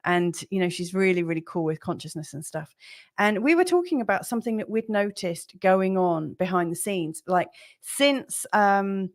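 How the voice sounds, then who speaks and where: A woman hosting a casual live stream from home, with a slightly croaky voice. She talks in a chatty, rambling way with plenty of fillers, at an average pitch. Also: expressive, normal speed. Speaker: livestream host.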